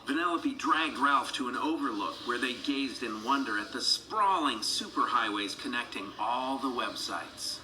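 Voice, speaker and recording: A man narrating a children's read-along storybook in an animated, dramatic storytelling manner. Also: mid-range voice, normal speed, few pauses, background noise. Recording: music behind the voice.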